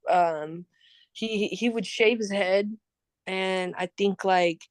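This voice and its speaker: A woman's voice: mid-range voice, steady tone, slow, no room sound. A woman in her thirties, a podcast guest chatting casually and laughing often as she tells stories. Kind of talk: casual chat.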